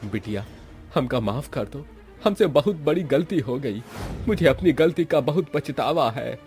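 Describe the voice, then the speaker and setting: A man voicing a remorseful dead uncle's ghost in a cartoon, pleading in a rustic, hurried way. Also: mid-range voice, lively intonation, a little mellow, soft background music, voice pushed up.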